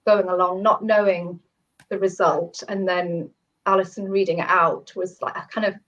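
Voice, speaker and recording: Croaky woman on a video call. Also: on the low side, level tone, moderate pace. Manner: warm, chatty, with laughs and restarts. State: croaky, has a cold. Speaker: short story author and guest. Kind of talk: casual chat, then reading her story aloud. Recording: dull lo-fi video call audio.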